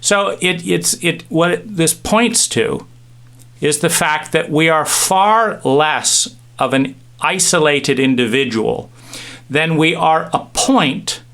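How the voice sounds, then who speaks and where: A middle-aged man giving a reflective talk, musing with pauses and restarts as he tells stories. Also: a little high, a bit bright. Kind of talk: reflective monologue with anecdotes.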